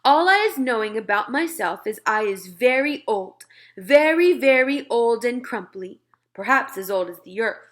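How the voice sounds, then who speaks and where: Grand old storybook giant, acted out by a woman, bright and emphatic, swooping pitch, theatrical, indignant, muddled grammar. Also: expressive, normal speed. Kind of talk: children's storybook read-aloud.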